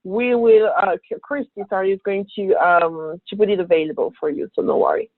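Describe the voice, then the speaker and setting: A woman's voice: medium pitch, a little fast, dry recording. A woman speaking for a cruise line on a video call, upbeat and enthusiastic. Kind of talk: casual webinar talk. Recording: tinny phone-like call audio.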